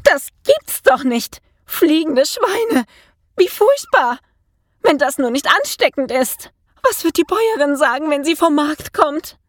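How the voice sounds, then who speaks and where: Farm maid for children's storybook: very high, shrieking, horrified, drawn-out exclamations; woman performing. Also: very animated, no echo, studio quality. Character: shrill, flabbergasted, easily horrified farm maid. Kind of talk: children's storybook character reading.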